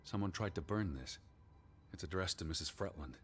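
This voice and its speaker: An actor playing a weary, hesitant American naturalist of the 1920s in a video game, speaking in a halting, subdued way. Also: male voice, animated, faint music behind. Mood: hesitant, somber. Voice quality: low, husky.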